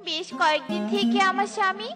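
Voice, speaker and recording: A woman plays an anxious cartoon mother bird with an extremely high voice. She is earnest and caring, with music clearly behind her. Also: a little fast. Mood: worried.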